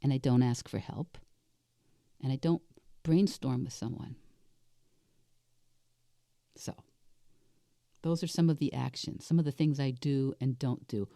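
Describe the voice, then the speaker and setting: Older woman in her sixties, deep mellow tone, raspy, slightly unwell, reflective self-coaching podcast monologue, deliberate phrasing. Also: no room sound, clean studio sound. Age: 60s. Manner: deliberate, reflective, asks and answers own questions. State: unwell with a cold-like illness. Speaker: life coach hosting a solo podcast.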